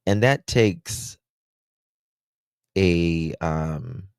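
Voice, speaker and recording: Man, deep voice, livestream host, halting casual chat, unhurried, dry close sound. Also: gravelly, smooth, no room sound. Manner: slow, halting, many fillers.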